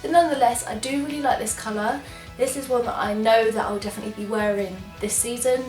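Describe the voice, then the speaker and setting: Young English woman, slightly high voice, nasally from a cold, bubbly beauty vlogger chat in an echoey room, faint music under. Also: steady tone, fast talker, darkish tone. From England. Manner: chatty, enthusiastic, apologetic asides. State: congested from a cold. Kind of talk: casual product try-on chat.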